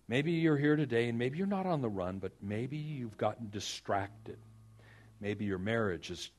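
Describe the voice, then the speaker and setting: A man preaching as a pastor, earnest and exhorting, with dramatic pauses, repeated phrases and a husky voice. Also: fairly low pitch. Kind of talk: sermon with personal stories and prayer.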